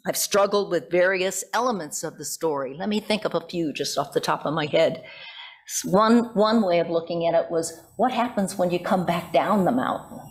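Low-pitched middle-aged American woman preaching. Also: moderate pace, slightly rough. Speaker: pastor preaching. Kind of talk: sermon, reflective and conversational. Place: church sanctuary at a pulpit. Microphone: gooseneck pulpit microphone.

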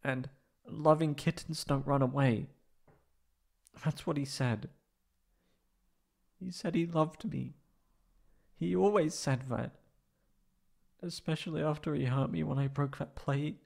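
A man as a halting, creaky-voiced wounded catboy. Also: fairly high pitch, darkish tone, full character voice. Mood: tearful, hesitant. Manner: halting, stammering, tearful. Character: wounded, anxious young male catboy, tsundere runaway. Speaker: ASMR roleplay voice actor. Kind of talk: emotional ASMR roleplay monologue.

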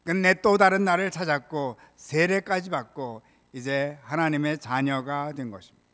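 Older man, pastor, high-pitched voice, measured solemn sermon delivery, long church reverb, pulpit mic. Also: fairly bright tone. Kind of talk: sermon, read from prepared text. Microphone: gooseneck pulpit microphone. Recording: reverberant church hall sound.

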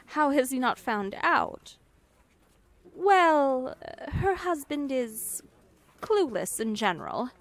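A woman playing a high-voiced, unhurried confident young witch. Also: very animated, dry recording, full character voice. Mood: warm, playful. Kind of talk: ASMR roleplay acting.

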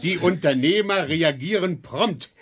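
An actor playing an obsequious industry lobbyist in a satirical radio play, formal and flattering. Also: male voice, a little high, measured pace, crowd noise, lightly acted. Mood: fawning. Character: fawning male industry lobbyist.

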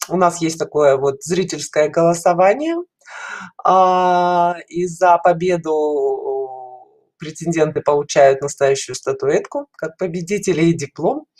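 Talkative woman with halting delivery. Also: low voice, a little mellow. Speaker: guest caller, children's animation studio representative. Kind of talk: casual interview answers. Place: indoors at home, video call. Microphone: headset.

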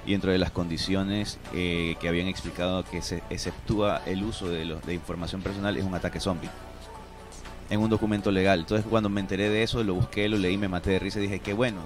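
A man's voice: sits low, fairly even intonation, quick pace, over music. A man in his thirties explaining things with examples as an expert guest in a radio studio interview. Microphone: studio broadcast microphone.

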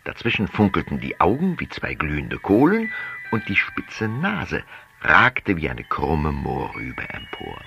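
A man narrating a children's audio play as an expressive fairy-tale storyteller, relishing drawn-out phrases. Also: rumbling low. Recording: dull sound with clear background noise.

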